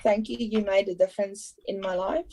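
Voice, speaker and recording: A woman's voice: mid-range voice, steady tone, brisk, dark tone, slight background noise, rough recording. A native Afrikaans-speaking woman with a South African accent, answering casually as a podcast guest. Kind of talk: casual interview chat.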